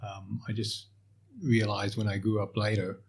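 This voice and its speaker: Husky low-voiced man, hesitant interview guest. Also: level tone, measured pace. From Asia (Hong Kong, Malaysia, Singapore). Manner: hesitant, many fillers and restarts. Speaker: podcast guest, portfolio manager. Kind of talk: casual interview answers.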